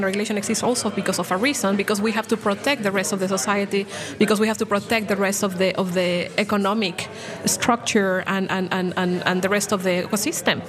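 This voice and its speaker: Passionate woman guest, crowd murmur behind. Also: mid-range voice. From Latin America. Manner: passionate, many restarts and fillers. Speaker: bank blockchain program director, guest. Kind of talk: podcast interview.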